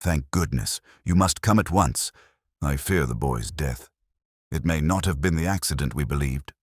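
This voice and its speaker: Audio mystery role: fretful village vicar played by a male narrator, low voice, urgent, swooping intonation. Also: animated, normal speed, breathy edge, dry recording, studio quality, exaggerated voice. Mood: breathless, urgent. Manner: breathless, urgent, frantic.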